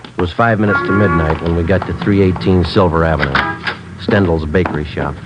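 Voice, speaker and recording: An actor playing a terse American police detective sergeant in a 1950s radio crime drama, clipped and deadpan. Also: male voice, sits low, fairly quick, loud music behind the voice, close to an everyday voice. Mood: deadpan, businesslike. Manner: deadpan, clipped, matter-of-fact. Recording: muffled old radio recording.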